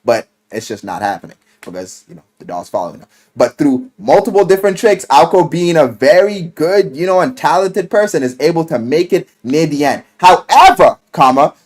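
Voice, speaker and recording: A man giving a casual, enthusiastic recap of a story, animated and slangy, swearing freely and acting out the quotes. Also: a little high, normal speed, hardly any pauses. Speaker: video game and visual novel reviewer. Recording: music playing behind the voice. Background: music.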